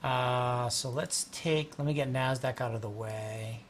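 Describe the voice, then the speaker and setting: A man's voice: mid-range voice, measured pace, a little hiss or hum. A man hosting a livestream, walking through stock charts in casual, rambling talk while losing his voice. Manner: rambling, restarts, clears throat often. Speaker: host and market analyst.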